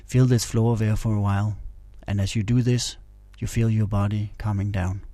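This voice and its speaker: Low, mellow male meditation guide. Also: normal speed, no echo. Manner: calm, soothing, instructional.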